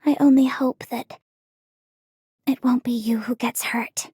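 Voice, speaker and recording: A woman playing a solemn, devoted swordswoman in a game, with a breathy, earnest, measured delivery. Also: fairly high pitch, steady tone, background noise, lightly acted. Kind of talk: game character voice lines.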